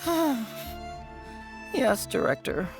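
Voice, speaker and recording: A man's voice: extremely high pitch, wildly swooping pitch, very slow, vocal fry, full character voice. A man voicing a meek, doubtful, weary assistant in a video game, with a hesitant and deferential manner. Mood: hesitant, resigned. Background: faint music.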